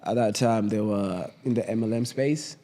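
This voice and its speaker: A man in his thirties with a Kenyan accent, a guest telling his story in a relaxed, casual way and often saying "you know what I mean". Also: lowish voice, moderate pace, long reverb tail. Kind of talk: casual interview chat.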